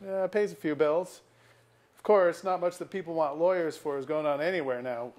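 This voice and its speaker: A man's voice: a little high, a little fast, darkish tone, lightly acted. Man playing a bitter, sardonic middle-aged lawyer. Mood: wry, resigned. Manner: wry, wordy, sarcastic. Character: bitter, sardonic middle-aged male small-town lawyer nursing a grudge. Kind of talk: staged play reading, naturalistic dialogue. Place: auditorium.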